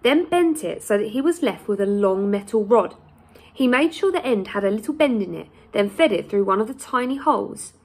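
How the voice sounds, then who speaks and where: A woman in her thirties reads a children's storybook aloud like a teacher, deliberate and expressive, with dramatic pauses. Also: mid-range voice. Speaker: primary school teacher reading aloud. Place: small plain room indoors.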